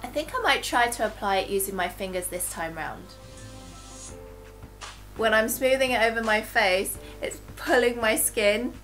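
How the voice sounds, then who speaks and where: Woman, fairly high voice, stuffy nasal from flu, chatty beauty review over faint music. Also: normal speed, a bit bright. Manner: chatty, talks while applying makeup. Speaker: beauty vlogger. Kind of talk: casual product review and demo.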